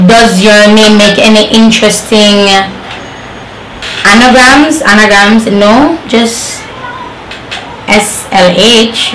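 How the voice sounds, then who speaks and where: A woman's voice: medium pitch, a bit bright. A young woman from Jamaica talking casually to camera as a beauty vlogger, rambling with fillers and restarts. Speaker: beauty vlogger reviewing hair. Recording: echoey room with faint noise.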